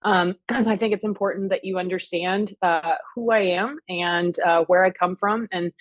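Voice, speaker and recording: A woman's voice: fairly low pitch, steady tone, moderate pace, dry recording, narrow, tinny audio. A woman in her forties from Texas with a Texan accent, hosting her own show in a loose, rambling solo talk full of fillers and restarts. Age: 40s. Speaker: podcast host, physician. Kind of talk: casual solo monologue.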